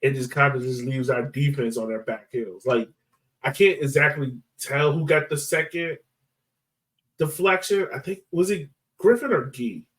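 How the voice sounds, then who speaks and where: A man's voice: mid-range voice, moderate pace, far from the mic. A man co-hosting a sports podcast, casually breaking down a soccer match and repeating himself as he thinks aloud.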